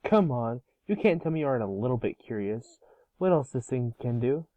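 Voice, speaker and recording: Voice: mid-range voice, lively intonation, a little fast, dry recording, lightly acted. Curious, snarky cartoon boy hero, aged ten, played by an amateur performer; close, dry sound through a narrow phone-like line. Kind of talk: amateur cartoon table read. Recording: muffled, phone-like voice call.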